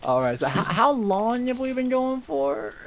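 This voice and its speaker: A man's voice: very high-pitched, big pitch swings, unhurried. A man chatting casually as co-host of a group livestream, jokey and often chuckling. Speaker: co-host and story reader.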